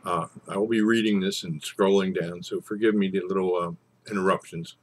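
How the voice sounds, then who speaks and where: A man with a husky voice, speaking as a storyteller and savouring his phrases with theatrical pauses. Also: low voice, steady tone. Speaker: storyteller and poetry reader. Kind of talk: informal introduction, then dramatic poetry recitation.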